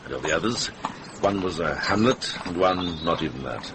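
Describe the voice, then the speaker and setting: A very low-pitched worldly monk, dry and watchful. He speaks as a shrewd older man in a radio play, with clear noise on the recording. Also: animated, moderate pace. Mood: measured, shrewd. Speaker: actor in a radio drama. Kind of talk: radio drama dialogue. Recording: noisy vintage radio drama recording.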